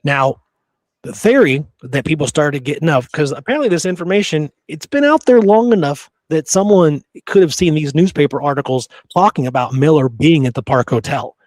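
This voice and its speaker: A man's voice: fairly high pitch, animated, normal speed, darkish tone, dry recording. A man hosting a podcast, discussing history in a casual, conversational way with blunt, opinionated remarks. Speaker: podcast host.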